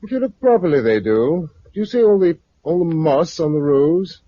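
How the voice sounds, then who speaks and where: Weary British husband in vintage radio drama. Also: male voice, a little high, lively intonation, normal speed, mellow. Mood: brooding. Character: weary, reflective husband and farmer surviving after catastrophe. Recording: muffled, noisy 1950s radio recording.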